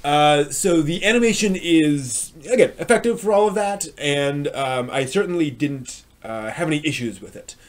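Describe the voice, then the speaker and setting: A man hosting a live stream review, talking unscripted in a halting way, often repeating and rephrasing himself. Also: fairly high pitch, lively intonation. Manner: frequent throat clearing.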